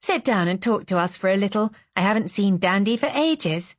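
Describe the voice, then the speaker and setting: A woman's voice: mid-range voice, lively intonation, normal speed, dry recording, studio quality, natural voice. Woman putting on a breezy, drawling society lady. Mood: breezy, chatty. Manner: breezy, effusive.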